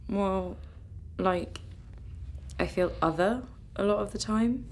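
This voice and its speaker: A woman's voice: medium pitch, takes it slowly, creaky, slight background noise. A woman with a British accent playing a grieving, sceptical young British-Jamaican in a radio play, guarded and matter-of-fact. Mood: candid, weary. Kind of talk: naturalistic radio play acting.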